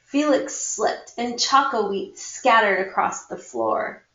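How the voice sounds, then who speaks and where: Woman read-aloud storyteller for schoolchildren, slow and very lively in pitch, echoey room. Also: a little hiss or hum. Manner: acts out dialogue, pauses to comment to listeners. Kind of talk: expressive children's picture book read-aloud. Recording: muffled, echoey room recording.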